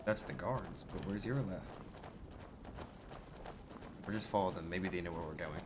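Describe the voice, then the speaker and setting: A man's voice: deep voice, normal speed, noisy background, close to an everyday voice. A man playing a mild, grumbling adventurer in a game, muttering his thoughts in a hesitant, conversational way. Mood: musing. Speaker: role-playing game player. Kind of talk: in-character improvised gameplay narration.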